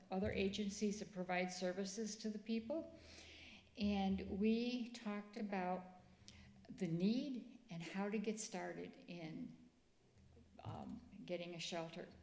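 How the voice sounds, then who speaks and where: A middle-aged woman, speaking softly in a church presentation. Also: medium pitch. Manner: soft-spoken, quiet voice. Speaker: ministry speaker. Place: church sanctuary. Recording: echoey church room.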